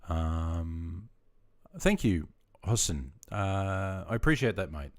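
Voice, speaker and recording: A middle-aged man from Sydney with an Australian accent, deep-voiced and chatty, talking casually with viewers on his gaming livestream. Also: animated, takes it slowly, darkish tone. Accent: Australian, with some Queensland features. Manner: chatty, friendly, greets viewers by name.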